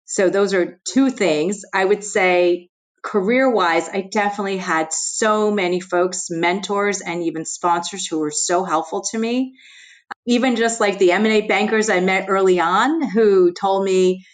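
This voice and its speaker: A woman's voice: mid-range voice, unhurried. A middle-aged American woman whose first language was Chinese, speaking candidly as a podcast guest, with many "you know" fillers. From United States. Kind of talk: conversational interview.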